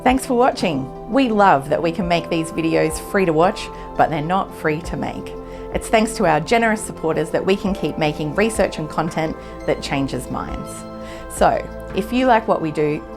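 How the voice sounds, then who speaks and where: Woman voice-over, low-pitched, measured appeal read over music. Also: animated. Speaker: announcer. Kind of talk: scripted donation appeal.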